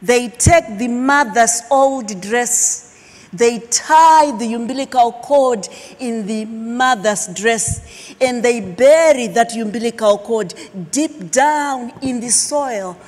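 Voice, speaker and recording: A middle-aged woman from Zimbabwe, a Shona speaker, telling her personal story as a keynote speaker with emphatic pauses. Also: fairly high pitch, a little mellow. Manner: slow, emphatic, dramatic pauses. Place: large auditorium stage. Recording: long hall reverb.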